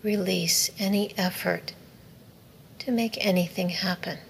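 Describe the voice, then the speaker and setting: A woman leads a guided relaxation at a very slow, measured pace. Her voice sits fairly low with a gravelly creak, and her phrases end softly on breath. She gives each instruction in a soothing way. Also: fairly even intonation. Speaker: yoga nidra meditation teacher. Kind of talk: guided meditation. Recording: faint background noise.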